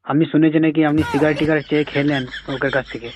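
A man making a casual prank phone call, persistent and deadpan. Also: a little high, fast, background noise. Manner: deadpan, persistent, evasive with absurd questions. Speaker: prank caller, comedy show host.

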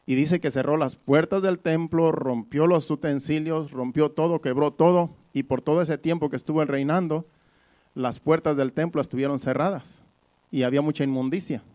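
A man's voice: fairly high pitch, steady tone, moderate pace, a little mellow. A man preaching in a conversational Bible-teaching style, explaining things by repeating and rephrasing his points.

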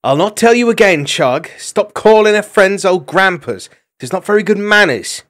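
A man's voice: lively intonation, moderate pace, a bit bright. A man voicing a prim young female hedgehog maid who scolds and bristles easily. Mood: scolding. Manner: prim, scolding, shrill when angry.

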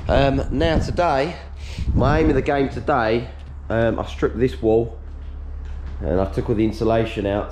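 Casting note: thirtyish bloke, slightly low-voiced and hoarse, upbeat work-vlog chatter on a head cam, faint shop noise. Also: male voice, a little mellow. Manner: chatty, upbeat, matter-of-fact while working. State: hoarse, losing his voice. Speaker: workshop owner vlogging. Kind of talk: casual chat to camera. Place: large workshop being stripped out, indoors. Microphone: head-mounted action camera.

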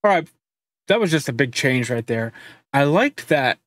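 A man's voice: medium pitch, normal speed. Chatty man hosting a music reaction. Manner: relaxed, chatty, many um fillers. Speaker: reaction channel host. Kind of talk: casual music reaction commentary. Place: home studio room.

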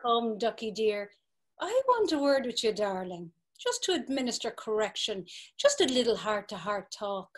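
An actress playing a domineering brothel madam, commanding and mocking, in a dramatic reading over a video call. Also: female voice, a little high, very animated, moderate pace. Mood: taunting. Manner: commanding, taunting. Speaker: stage actor.

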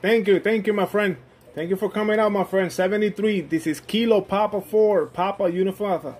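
A man with a fairly high voice works ham radio contacts. He is friendly and repetitive as he spells out call signs, with some noise behind him. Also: fairly even intonation, brisk. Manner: friendly, repeats himself, often says "my friend". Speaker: amateur radio operator.

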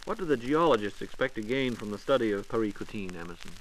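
A man's voice: fairly low pitch, lively intonation, fast talker. An American man with a polished announcer delivery, hosting a scripted 1940s radio programme. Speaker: radio host and interviewer. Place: radio studio. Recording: noisy vintage 1940s radio transcription disc.

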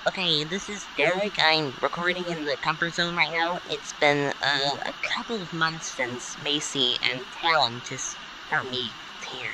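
A man's voice: a little high, steady tone, a little slow, natural voice. A man voicing a nervous, friendly male cartoon character, hesitant and stammering, in a noisy recording. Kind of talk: in-character birthday message. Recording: noisy, with background ambience.

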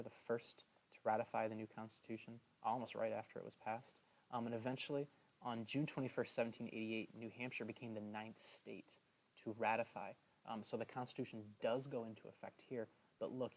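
A man teaching a history lesson over slides in a casual, chatty way, filling pauses with "um" and checking in with "right?". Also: medium pitch, creaky, low-quality recording. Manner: chatty, many "um"s and "right?" asides.

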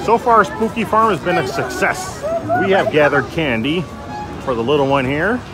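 A man's voice: a little high, lively intonation, normal speed. A man casually narrating a walking vlog at an outdoor theme park, chatty and upbeat as he points things out. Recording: loud crowd and music outdoors. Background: park music and crowds.

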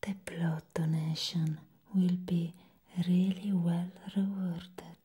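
A young woman playing a bored, condescending clinic secretary in a whispered ASMR roleplay, close to the microphone, offering slow, deliberate reassurance. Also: lowish voice, little pitch movement, mellow, breathy edge, exaggerated voice. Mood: hushed, dismissive. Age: young adult.

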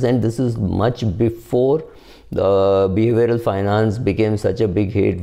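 An older man with an Indian English accent, explaining investing to camera at home in a measured, unscripted way with many fillers. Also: deep voice, vocal fry, dark tone. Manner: measured, explanatory, frequent "uh" fillers and "right?" tags. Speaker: personal finance video host. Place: home study with bookshelves, indoors.

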